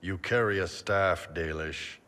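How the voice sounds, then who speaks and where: A man playing a big, gruff, easygoing mercenary captain in a video game, talking in a casual, dry, teasing way. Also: low voice, measured pace, sharp tone. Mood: dry, proud. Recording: long hall reverb.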